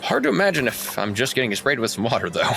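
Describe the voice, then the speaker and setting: A man's voice: medium pitch, very animated, fast talker, vocal fry, bright, forceful tone, pitched above the performer's own voice, full character voice. A man playing a chatty, obliging tavern keeper in a tabletop game, folksy and rambling. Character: chatty, obliging male tavern keeper sharing local legend.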